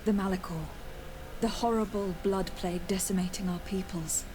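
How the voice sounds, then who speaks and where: A woman's voice: medium pitch, steady tone, moderate pace, noisy background, lightly acted. An actress playing a courteous young noblewoman on a diplomatic errand in a video game, formal and composed. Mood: formal, earnest. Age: young adult. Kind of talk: video game dialogue acting. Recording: game dialogue under music.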